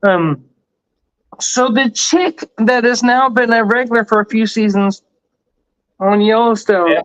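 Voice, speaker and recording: A man who has been drinking, rambling with profane, animated riffs in a casual chat. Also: high-pitched, a little slow, no echo. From Texas. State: tipsy from drinking. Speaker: show host. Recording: dry, slightly dull lo-fi sound.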